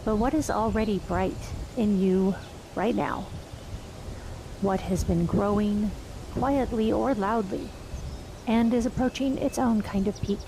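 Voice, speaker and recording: Slow, gravelly-voiced woman meditation guide. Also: medium pitch, steady tone, noisy background. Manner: slow, soft, unhurried with long pauses.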